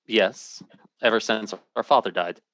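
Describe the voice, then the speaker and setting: Worried american man in a radio drama, low voice, clipped n impatient, dry sound, hardboiled narrator type. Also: unhurried, a bit bright, no room sound. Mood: guarded. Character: worried, impatient brother narrating his search for missing sibling. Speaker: lead actor and first-person narrator.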